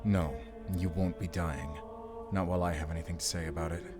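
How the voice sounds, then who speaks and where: A man playing a gruff, guarded but protective werewolf hunter with a German accent, speaking deliberately in a cinematic audio drama. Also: sits low, fairly even intonation, lightly acted. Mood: grave, protective. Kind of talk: cinematic audio roleplay acting. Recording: music behind the voice.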